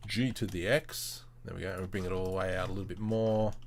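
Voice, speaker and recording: A man's voice: low-pitched, fairly even intonation, quick pace, slight background noise. A man hosting a casual livestream, thinking aloud as he works, with informal and playful muttering to himself. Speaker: livestream host demonstrating 3D modelling.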